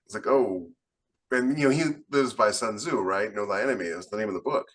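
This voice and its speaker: A man's voice: lowish voice, brisk, fairly bright tone. A man talking casually as a novelist on a podcast panel, in an informal discussion.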